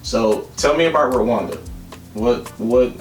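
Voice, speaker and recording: A man's voice: mid-range voice, a little slow, far from the mic, soft background music. A man hosting a casual interview and asking simple personal questions, recorded roomy and away from the mic. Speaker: interviewer, host.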